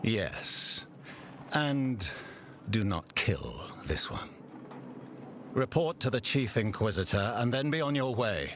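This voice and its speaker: Deep-voiced imperious fantasy tyrant. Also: male voice, sing-song, takes it slowly, pauses often, a bit bright, a little hiss or hum, full character voice. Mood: quiet menace. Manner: grandiose, theatrical in proclamation, menacing in private. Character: imperious, cruel male ruler commanding holy inquisitors. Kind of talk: dramatic fantasy game acting.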